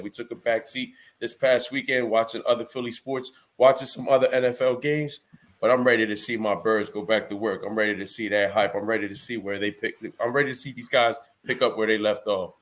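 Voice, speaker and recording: A man co-hosting a sports podcast, agreeing in a relaxed, easygoing way. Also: on the low side. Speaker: podcast co-host. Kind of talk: casual sports chat.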